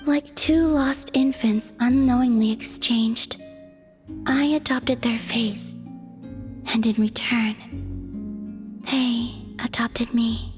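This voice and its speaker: Young moon goddess, high soft voice, breathy, slow, solemn, enigmatic, video game, music underneath. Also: female voice, steady tone. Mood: wistful, melancholy. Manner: slow, poetic, measured. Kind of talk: English video game voice acting. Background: background music.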